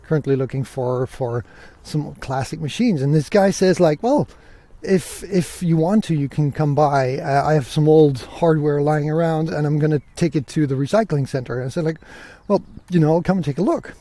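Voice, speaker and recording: A man's voice: fairly high pitch, normal speed, dark tone, no room sound. A man hosting a solo podcast, telling stories in a casual, conversational way. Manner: conversational, acts out remembered dialogue. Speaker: podcast host.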